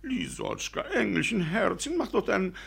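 An actor playing a middle-aged landowner father in a radio comedy, blustering and sentimental, with a raspy, spluttering delivery. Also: high voice, sing-song, normal speed, crowd noise, full character voice. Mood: wheedling. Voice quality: raspy, creaky. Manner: spluttering, wheedling, theatrically exasperated. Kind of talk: German radio comedy acting.

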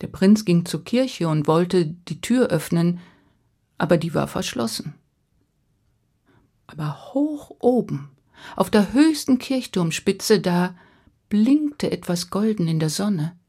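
A woman narrating a fairy tale for children in a rhythmic, expressive way. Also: fairly low pitch, takes it slowly. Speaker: storyteller.

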